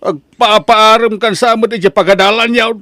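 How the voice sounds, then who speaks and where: A man's voice: high-pitched, steady tone, normal speed, sharp tone, dry recording, studio quality. A man playing a strict, hardworking older father, a farmer, in an Ilocano drama with emphatic delivery. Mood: stern, scolding. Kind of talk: Ilocano radio-style drama acting.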